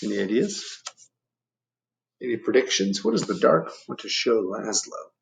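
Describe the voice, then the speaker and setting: A man's voice: on the low side, very animated, normal speed, vocal fry, poor audio. A man reading a picture book aloud to children, explaining things and putting questions to the listeners in chatty asides. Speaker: storybook reader.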